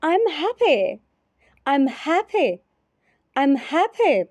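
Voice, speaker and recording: A woman teacher repeating short phrases slowly and carefully in a repetition drill. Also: high voice, big pitch swings, a little mellow, no room sound. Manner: repeats each phrase three times, carefully.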